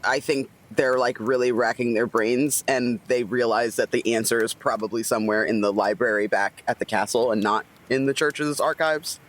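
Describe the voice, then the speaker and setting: A man's voice: mid-range voice, fairly even intonation, moderate pace, hardly any pauses, a bit bright. A man playing a tabletop roleplaying game, casually reasoning aloud about his character and checking in with listeners.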